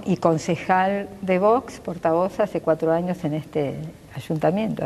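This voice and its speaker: A woman in her sixties speaks with an Argentine accent, her voice low and warm. She answers a television interviewer earnestly and fluently, gesturing as she talks. The sound carries a lingering reverb from a glass-walled room. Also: slight background noise. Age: 60s. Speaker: physician and local politician, interview guest. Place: glass-walled pavilion with garden behind.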